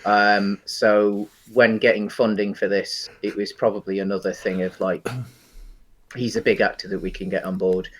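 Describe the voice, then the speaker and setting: Halting, rambling man chatting about film. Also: lowish voice, little pitch movement, low-quality recording. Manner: hesitant, halting, rambling delivery. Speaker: podcast host. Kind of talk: casual film discussion.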